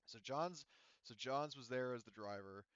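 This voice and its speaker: A man's voice: mid-range voice, moderate pace, fairly bright tone, clear, resonant tone, no echo, dull audio. A man running a tabletop roleplaying game as game master, talking casually and thinking aloud with hesitations. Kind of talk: casual tabletop game narration and rules talk.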